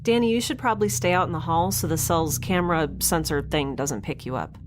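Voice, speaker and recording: A woman's voice: sits low, brisk, background noise, close to an everyday voice. A woman playing a chatty, naive young mutineer in a science fiction audio drama, rambling cheerfully and informally. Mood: eager, curious. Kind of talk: audio drama acting, conversational.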